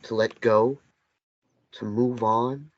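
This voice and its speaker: A man voicing the ghostly memory of a dead lover in a table read of a stage play, speaking in a slow, gentle, earnest way. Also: mid-range voice, steady tone, lightly acted. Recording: dull lo-fi sound.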